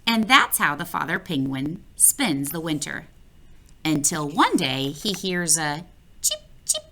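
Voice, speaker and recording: Woman narrator for a kids' picture book, bright voice with some gravel, animated and playful read-aloud. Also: low-pitched, slow, a little hiss or hum. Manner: expressive, playful, addresses the listener directly. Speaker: children's book reader. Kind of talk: read-aloud storytelling for children.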